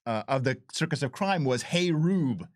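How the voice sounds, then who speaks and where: A man's voice: mid-range voice, expressive, normal speed, slight background noise. A man talking casually as a game developer, explaining things emphatically and repeating phrases to drive points home. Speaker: game developer giving commentary. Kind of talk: casual chat, explaining with enthusiasm.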